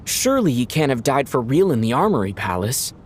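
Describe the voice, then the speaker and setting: Game casting: male actor as thoughtful, wary investigator, middle register, slight rumbling noise. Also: big pitch swings, brisk, darkish tone, lightly acted. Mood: pondering.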